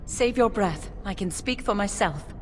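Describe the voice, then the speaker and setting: A woman voicing a devout young initiate in a fantasy game, earnest, emotional and halting. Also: mid-range voice, expressive, close to an everyday voice. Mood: contrite. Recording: game dialogue with music underneath.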